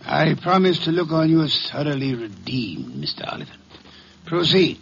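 A man's voice: on the high side, wildly swooping pitch, normal speed, vocal fry, fairly bright tone, breathy edge, exaggerated voice. An actor playing a pompous, indolent male detective genius, with dry formal diction, in an old-time radio drama. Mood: dryly imperious. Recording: muffled 1950s radio recording.

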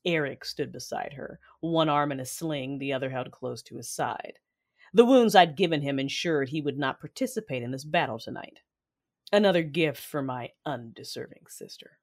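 Low-voiced brooding warrior mother played by a woman. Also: animated, normal speed, heavily acted. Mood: grim resolve. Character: hardened warrior mother, guarded, stoic, scripted first-person narrator. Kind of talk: dramatic first-person audio drama narration.

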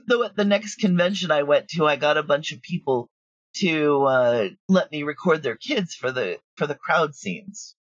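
A woman talking casually as an interview guest, telling stories in an animated way with quoted asides. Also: deep voice, moderate pace, no echo, lo-fi sound. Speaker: audio drama creator, interview guest.

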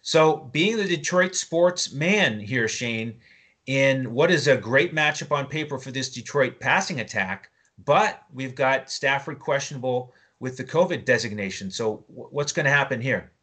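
A man's voice: mid-range voice, lively intonation, unhurried, fairly bright tone, slightly rough. A man with an American accent hosting a fantasy sports podcast in a conversational style.

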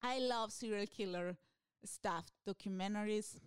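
A woman's voice: mid-range voice, a little slow, smooth, no echo, studio quality. A woman from Peru with a Peruvian Spanish accent, chatting casually as a comedian guest, laughing often and restarting her sentences. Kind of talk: casual interview chat.

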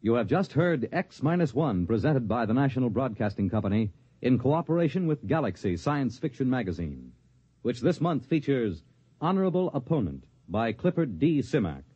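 A man's voice: mid-range voice, warm tone, slightly rough, dry recording. An American man reading scripted announcements in 1950s network radio style. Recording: dull, dry old radio recording.

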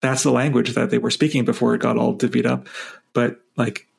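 A man's voice: medium pitch, flat delivery, brisk, vocal fry, husky. A man co-hosting a podcast, reflecting on the Torah portion in loose run-on phrases with frequent "like". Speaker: podcast co-host. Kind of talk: casual discussion of the weekly Torah portion.

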